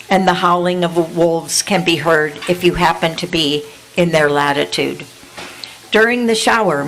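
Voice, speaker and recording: An older woman reading her own poetry aloud at an event, measured and unhurried, then chatting loosely with fillers between pieces. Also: on the low side, fairly bright tone, rough recording. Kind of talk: poetry reading and spoken introduction. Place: indoor venue with an audience.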